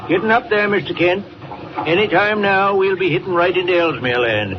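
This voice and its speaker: An actor playing a folksy, plainspoken old icebreaker captain with rustic speech, in 1940s radio style. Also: male voice, high voice, a little fast, close to an everyday voice. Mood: folksy, wary. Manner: folksy rustic dialect, old-salt talk. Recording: muffled, very noisy 1940 radio recording.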